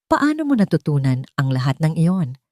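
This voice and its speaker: Woman voicing a reserved, wealthy hacienda man, quiet and measured. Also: sits low, very animated, no echo, clean studio sound. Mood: reserved, approving. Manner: quiet, measured, few words. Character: reserved, terse, wealthy male hacienda owner.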